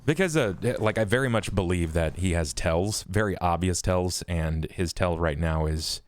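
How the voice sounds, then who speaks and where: Male game player, low pitch, hesitant, stretched-out casual delivery. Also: normal speed. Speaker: role-playing game player. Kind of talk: casual tabletop game chat.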